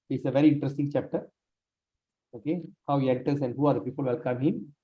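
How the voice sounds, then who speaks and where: A man leading a scripture class, speaking haltingly with many fillers and restarts while he has lost his voice. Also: medium pitch, steady tone, breathy edge. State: lost his voice, sprained neck. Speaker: class leader. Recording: dull lo-fi room recording.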